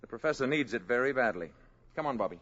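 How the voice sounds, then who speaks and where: An actor playing a calm, gentle, learned visitor from space in a 1950s radio drama, speaking in a measured, polite and precise way. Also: male voice, mid-range voice, a little hiss or hum, close to an everyday voice. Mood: calm, assured. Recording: muffled 1950s radio broadcast recording.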